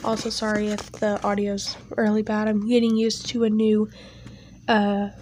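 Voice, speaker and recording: A woman's voice: mid-range voice, monotone, normal speed, darkish tone, a little hiss or hum. A young woman with a stuffy, nasal voice talks casually over her art, rambling with many fillers. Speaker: art channel creator. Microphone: home recording setup.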